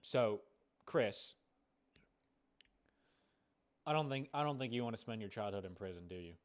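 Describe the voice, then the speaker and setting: A man's voice: on the low side, animated, brisk, close to an everyday voice. Man playing stern, officious American legal bully. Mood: officious, pressing. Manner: officious, pressing, repetitive. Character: stern, bullying adult threatening a kid with lawsuit. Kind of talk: prank phone call.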